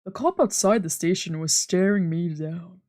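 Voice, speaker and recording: A woman streamer reading visual novel narration aloud, plain and steady, with playful asides. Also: on the low side, moderate pace.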